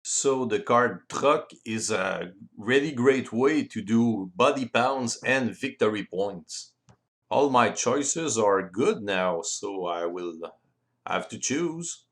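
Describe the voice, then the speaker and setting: Slow, lilting Frenchman explaining a game. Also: on the low side. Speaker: board game rules presenter. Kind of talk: explanatory walkthrough of game play.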